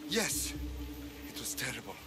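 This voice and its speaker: A man's voice: mid-range voice, very animated, measured pace. An actor playing an earnest, shaken male Greek villager who gossips as an eyewitness in a video game. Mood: shaken, accusing. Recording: noisy outdoor ambience. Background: ambient noise.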